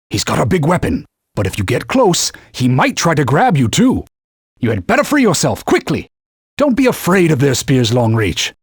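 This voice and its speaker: Bossy talking sword from a video game, pompous knightly scold, kinda husky, pitch swoops all over. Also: male voice, no echo, studio quality, exaggerated voice. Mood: stern warning. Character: haughty talking sword, stern male knightly mentor. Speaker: video game companion guide. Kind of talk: video game voice acting, short combat callouts.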